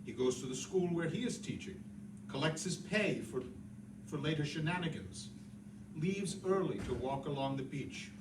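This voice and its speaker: A man's voice: fairly high pitch, expressive, normal speed, close to an everyday voice. A man playing a genial, self-satisfied Irish novelist who tells his story to an audience with chatty, wry asides. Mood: wry, storytelling. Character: James Joyce, a genial, boastful, digressing Irish novelist. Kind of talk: theatrical storytelling monologue to an audience. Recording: echoey, distant, noisy room recording.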